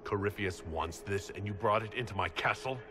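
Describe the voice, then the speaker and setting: An actor playing a stern, authoritative warrior leader in a fantasy game, speaking decisively. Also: male voice, on the low side, a little fast, long reverb tail, background noise, natural voice. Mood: commanding.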